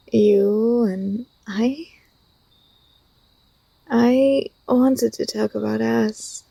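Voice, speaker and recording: A woman acts out a young female student, fond and gently teasing, in an intimate ASMR roleplay. Her voice is soft and fairly high, with plenty of fry at the edges. The sound is dry and close, and her delivery is tender and a little hesitant. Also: no echo, exaggerated voice, poor audio. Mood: nervous, earnest. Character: affectionate, teasing young female university student confessing feelings.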